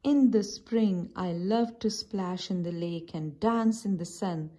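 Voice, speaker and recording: A woman's voice: lowish voice, takes it slowly, dark tone. A woman voicing a friendly, easygoing, hug-loving bear in a children's storybook. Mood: happy.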